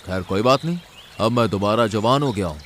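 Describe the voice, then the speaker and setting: A man's voice: lowish voice, a little fast, fairly bright tone, a little hiss or hum, pitched below the performer's own voice, natural voice. A man voicing an arrogant, cruel, greedy heron in a cartoon story, boastful and menacing. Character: arrogant, cruel, greedy male heron, newly young again.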